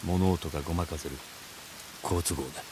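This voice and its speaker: An actor playing a calm samurai squad leader in a video game, terse and commanding. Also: male voice, deep voice, moderate pace, noisy background, close to an everyday voice. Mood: controlled. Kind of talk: dramatic video game acting.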